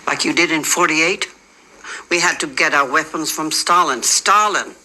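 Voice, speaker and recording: A woman's voice: low-pitched, normal speed, background noise, close to an everyday voice. An actress playing a stern elderly woman national leader who speaks with cold, commanding defiance. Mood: defiant. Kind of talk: film drama acting.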